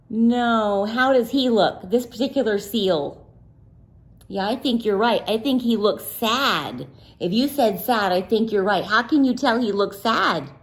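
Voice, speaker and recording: A woman teacher reads a picture book to young children, slowly and deliberately, leaving room for their answers. Her voice sits a little high and swoops up and down a lot, playful and sing-song as she quizzes them. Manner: slow and deliberate, pausing for answers. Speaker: teacher reading aloud. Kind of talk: children's read-aloud with questions.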